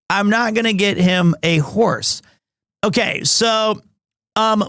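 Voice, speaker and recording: A streamer in his thirties with a big, loud voice that sits high for a man. He talks at an easy, drawn-out pace, restarting sentences as he chats with viewers from his home stream room, and the sound is dry and close. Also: no room sound. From United States. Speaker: livestreamer. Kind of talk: casual chat with stream viewers.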